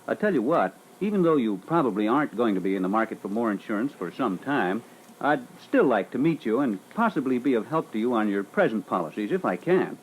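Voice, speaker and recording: Man acting as lively, persistent insurance salesman. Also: medium pitch, a little fast, close to an everyday voice. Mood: courteous, persistent. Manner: courteous, businesslike, persistent. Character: polite, tactfully persistent male life insurance salesman. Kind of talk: scripted sales-call role-play. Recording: noisy old reel-to-reel training record.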